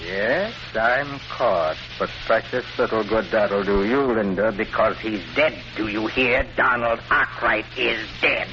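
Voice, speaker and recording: An actor playing a jealous, arrogant, cornered husband turned murderer in a melodramatic 1940s radio drama, with a sneering, vehement manner. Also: male voice, fairly low pitch, unhurried, few pauses, natural voice. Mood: bitter gloating. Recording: noisy, muffled 1940s radio recording.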